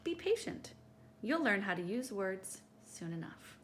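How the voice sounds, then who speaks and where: Storytime host, female, very lively pitch swings, teacherly read-aloud for young kids, some background noise. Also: unhurried. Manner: animated, teacherly, addressing young listeners directly. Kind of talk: expressive children's picture-book read-aloud.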